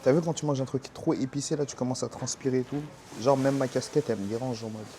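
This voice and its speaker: A young man from France vlogging his walk through busy city streets, talking to his viewers in excitable, slangy speech. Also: mid-range voice, fast, very noisy. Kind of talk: casual travel vlog talk. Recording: music mixed under the voice.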